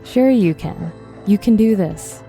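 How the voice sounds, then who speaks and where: A young woman playing a tough, sardonic zombie killer in an audio drama, with a deadpan, teasing, brisk delivery. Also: medium pitch, creaky, soft background music. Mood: cocky, coaching. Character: tough, sardonic twenty-five-year-old woman, cocky zombie killer. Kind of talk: audio drama acting, casual dialogue.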